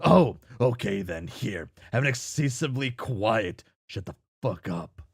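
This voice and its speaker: Man voicing a husky, gruff, irritable alien guy. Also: lowish voice, expressive, unhurried, no room sound, studio quality, heavily acted. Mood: irritated. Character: gruff, foul-mouthed, secretive alien guy, easily irritated.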